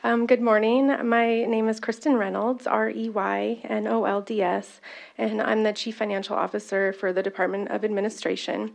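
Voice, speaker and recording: Woman government finance officer, mid-pitched, loud voice, formal testimony peppered with fillers. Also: level tone, moderate pace, vocal fry. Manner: loud-voiced, apologetic asides, many "um" fillers.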